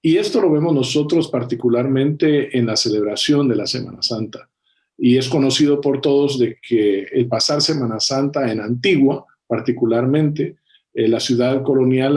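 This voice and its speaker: A man speaking as a panel guest in an analytical discussion, thoughtful, with many filler pauses and self-corrections. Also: medium pitch, a little mellow.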